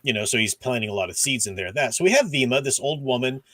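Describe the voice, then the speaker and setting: A man's voice: mid-range voice, lively intonation, quick pace, fairly bright tone, no room sound. Man explaining things, close dry sound. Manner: explanatory, peppers talk with "you know". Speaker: host of a book discussion stream. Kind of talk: casual livestream discussion of comics and radio dramas.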